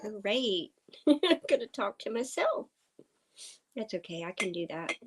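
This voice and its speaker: A woman from Oklahoma hosting a casual livestream chat with viewers. Her voice is slightly hoarse. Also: mid-range voice, very animated. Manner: slow, chatty, talking to viewers. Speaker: livestream host. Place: farm home indoors. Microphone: computer streaming setup.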